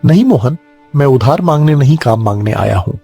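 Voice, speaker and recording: Man voicing a husky, earnest poor villager. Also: medium pitch, lively intonation, fairly quick, dark tone, faint music behind. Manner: earnest, pleading. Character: kind, humble, earnest poor villager in a cartoon.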